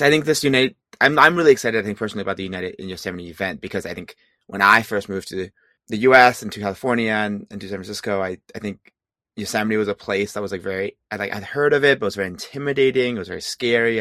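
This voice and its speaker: A man's voice: lowish voice, quick pace, bright, forceful tone, dry recording, clean studio sound. A man from Hong Kong, a climbing guide, speaking in a hesitant interview manner with many restarts. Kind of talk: casual interview chat.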